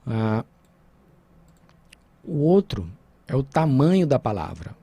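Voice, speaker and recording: Brazilian guy teaching coding on a screen recording, mellow husky voice, keys typing in the background. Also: mid-range voice, sing-song, slow pace, no room sound. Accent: Brazilian Portuguese. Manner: informal, checks in with "tá?" and "né?". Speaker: programming teacher.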